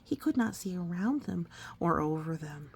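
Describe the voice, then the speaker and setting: A woman reading a picture book aloud to a young child, expressive and coaxing, often dropping into a breathy whisper and adding chatty asides. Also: lowish voice, normal speed, a little hiss or hum. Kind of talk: storybook read-aloud with conversational asides to a child.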